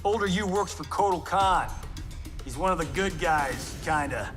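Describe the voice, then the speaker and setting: A swaggering, wisecracking action-movie star, middle-aged and fond of sarcastic quips. His voice sits high and swings lively through each line, unhurried, over loud game music. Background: game soundtrack music.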